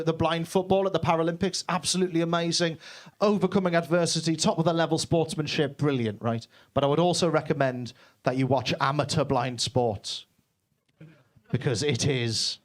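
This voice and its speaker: A young man from Newcastle, doing a brash, self-deprecating stand-up set in a basement comedy club, swearing freely. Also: on the high side. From Newcastle, England.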